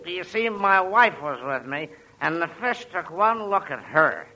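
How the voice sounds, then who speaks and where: A man playing a droll, deliberate, wisecracking husband in a 1940s radio comedy. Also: fairly high pitch, very animated, bright, forceful tone, full character voice, poor audio. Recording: old radio recording with faint noise.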